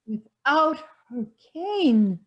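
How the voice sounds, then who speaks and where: Woman playing a high, fussy society gossip. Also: very animated, extremely slow, muffled recording, exaggerated voice. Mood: incredulous. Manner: indignant, questioning, theatrical. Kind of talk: stage acting in an online one-act play.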